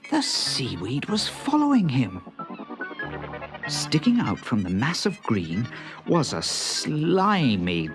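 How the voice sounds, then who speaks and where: A man narrating a children's story in a dramatic, suspenseful way. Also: on the high side.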